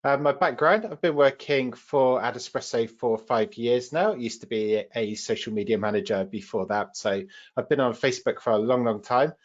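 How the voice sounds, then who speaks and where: A British man leads a live webinar as its trainer, speaking at a medium pitch. His delivery is informal and explanatory, like a practical presentation to learners. The audio is a little dull and lo-fi. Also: moderate pace. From United Kingdom.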